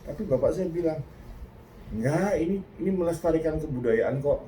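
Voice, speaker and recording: An older Indonesian man giving a casual reaction talk, reflective and earnest, pausing and restarting often. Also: a little high, expressive, mellow, slight background noise, poor audio. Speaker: host.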